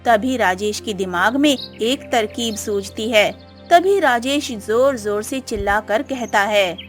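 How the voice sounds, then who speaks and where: A woman's voice: fairly high pitch, slow pace. A woman narrator reading a scripted cartoon moral story. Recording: music behind.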